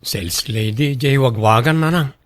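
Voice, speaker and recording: A man's voice: mid-range voice, normal speed, no room sound, lightly acted. An actor playing a humble, insecure young working man in love, earnest and hesitant, in an Ilocano radio drama.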